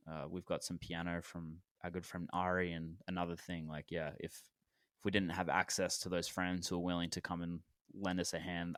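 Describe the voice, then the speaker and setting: Low, smooth-voiced young man in his twenties. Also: no echo, studio quality. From Australia. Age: 20s. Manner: relaxed and rambling, with many fillers and restarts. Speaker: musician guest. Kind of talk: casual podcast interview.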